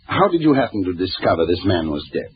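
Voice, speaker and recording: An actor playing a composed, methodical police inspector who narrates his case in measured, clipped 1940s radio drama style. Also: low voice, big pitch swings, lo-fi sound. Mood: probing.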